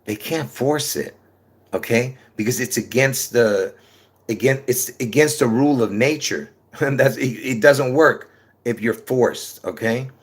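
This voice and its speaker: A man's voice: medium pitch, unhurried, slightly rough. Rambling man with a New York accent. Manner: repetitive, restarts often, many fillers, calls listeners folks. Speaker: livestream host. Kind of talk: rambling livestream monologue to viewers.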